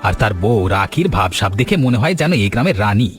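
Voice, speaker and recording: A village villain's sidekick, played by a man, quick-tongued and scheming. He fawns and taunts in cartoon style, with music clearly behind his words. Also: on the low side, sing-song. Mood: scheming, deferential. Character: village headman's scheming, fawning, bullying henchman. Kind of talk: Bengali cartoon villain acting.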